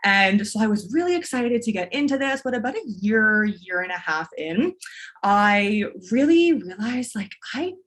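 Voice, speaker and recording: A woman talking on a podcast, her voice sitting at an ordinary middle pitch. She is eager and rambling, circling back and restarting her sentences as she tells her own story. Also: sing-song, normal speed, a bit bright. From Canada. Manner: enthusiastic, long-winded with restarts. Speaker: podcast guest, business mentor. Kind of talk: casual interview storytelling.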